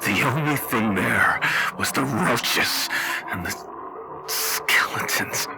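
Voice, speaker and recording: A man voicing a grieving father in his thirties, a weary wasteland survivor, in a halting, dramatic roleplay monologue. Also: medium pitch, creaky, noisy background. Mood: haunted, grieving. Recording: background music under the voice.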